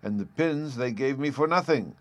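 A man voicing a kindly, absent-minded old male professor who speaks gently and fussily. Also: medium pitch, expressive, normal speed, no echo, voice pushed up, lightly acted. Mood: gleeful. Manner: gentle, fussy and polite.

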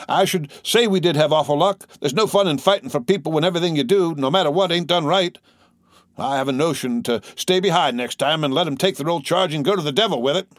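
A man's voice: mid-range voice, expressive, fairly quick, no echo, clean studio sound, voice pushed up, close to an everyday voice. A man voicing an aggrieved young soldier, stubborn and complaining in a rustic dialect.

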